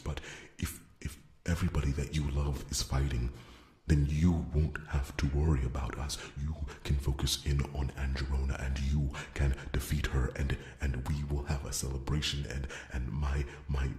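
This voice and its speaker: A man playing an earnest, devoted blacksmith warrior in a fantasy ASMR roleplay, stammering and repeating words, with a breathy voice that often sinks toward a whisper. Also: rumbling low, darkish tone. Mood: hopeful. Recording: roomy, echoing sound.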